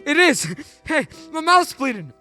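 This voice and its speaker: Young actor as high-voiced, desperate small-town family man. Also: big pitch swings, a little slow, pushed and bright, exaggerated voice. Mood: overjoyed. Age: young adult. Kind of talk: live radio play acting. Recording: live stage recording with music behind.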